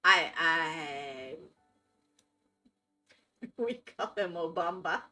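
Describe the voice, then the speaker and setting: A woman's voice: fairly low pitch, expressive, extremely slow, bright, forceful tone, soft background music. A woman with a Florida accent, chatting casually with viewers as a live streamer and reacting to their comments. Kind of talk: casual chat while gaming.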